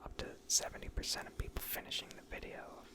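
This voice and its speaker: A woman speaking in a breathy whisper, giving rambling, unscripted and self-deprecating ASMR commentary over gameplay. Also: fast. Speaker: gaming video creator.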